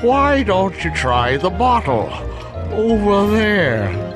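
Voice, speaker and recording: Sleepy game creature: a grumpy doorknob, extremely drawn-out and widely swinging delivery. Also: male voice, high-pitched, big pitch swings, over loud music, heavily acted. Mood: languid. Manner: drowsy, drawling. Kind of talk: animated video game acting.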